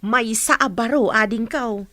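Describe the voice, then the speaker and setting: A woman's voice: fairly high pitch, unhurried, bright, forceful tone, no room sound. A woman acting as a respectful, obliging housemaid in a dramatised audio story. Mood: friendly, obliging. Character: respectful, obliging older housemaid to a rich employer.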